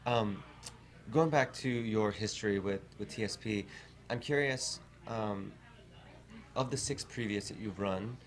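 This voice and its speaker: A host running a casual interview in a relaxed way, with frequent "yeah" and "I love it". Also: mid-range voice, unhurried.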